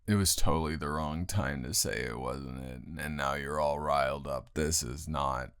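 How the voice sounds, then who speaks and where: A man playing a grumpy, tired young male roommate who is secretly smitten, in a halting, exasperated, intimate improvised audio roleplay. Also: fairly bright tone, no echo. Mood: weary, reluctant. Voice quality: very deep, gravelly, close and dry.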